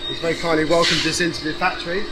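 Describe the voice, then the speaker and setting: Casual, high-pitched male presenter. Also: steady tone, rapid-fire. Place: inside a large factory and warehouse. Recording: music behind, factory hum.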